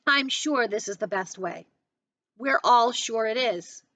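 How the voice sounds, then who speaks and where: An earnest, protective father from a novel, as a woman reads him. He reasons gravely and persuasively at a measured pace, in a bright voice. The recording is slightly dull and lo-fi. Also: a little high, lively intonation, no echo, exaggerated voice. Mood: earnest, reassuring. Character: sad, earnest, protective middle-aged father, reasoning firmly. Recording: dull, lo-fi sound.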